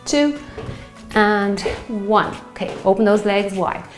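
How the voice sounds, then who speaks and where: A woman in her fifties, a fitness instructor leading a guided strength workout, slightly hoarse from a sore throat and cheering on the class as she counts out reps. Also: medium pitch, takes it slowly, echoey room, soft background music. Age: 50s. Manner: encouraging, counting reps while exercising.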